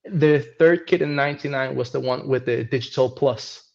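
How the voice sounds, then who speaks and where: Gravelly-voiced man in his thirties from Venezuela, halting guest talk over dull lo-fi call audio. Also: medium pitch. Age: 30s. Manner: hesitant, many restarts and fillers. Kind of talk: casual podcast chat.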